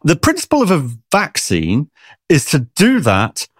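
A man, a science journalist, explaining medical research in a chatty, lively way during an interview. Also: fairly high pitch, a little slow, breathy edge, no room sound, clean studio sound.

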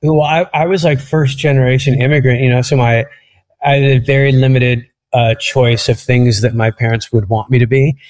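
A man's voice: mid-range voice, steady tone, normal speed, darkish tone, low-quality recording. A man from Egypt, a comedian guest on a podcast, telling stories in a rambling way and laughing at them. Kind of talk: casual interview chat.

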